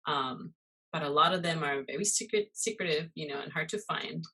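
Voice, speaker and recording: A woman's voice: low voice, moderate pace, vocal fry, sharp tone, husky, low-quality recording. A woman in her thirties from Costa Rica, chatting casually as an interview guest, with lots of fillers and "you know". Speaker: guest, muralist and illustrator.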